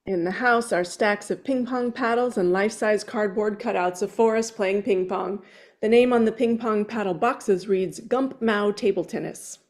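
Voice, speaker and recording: A woman with a medium-pitched voice reads from a film script. She works as a narrator at a table read, giving the scripted lines aloud. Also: moderate pace.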